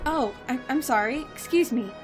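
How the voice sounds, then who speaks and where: A woman's voice: sits high, moderate pace, music in the background, close to an everyday voice. A woman playing an anxious but plucky heroine with an uneasy, questioning manner. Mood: bewildered.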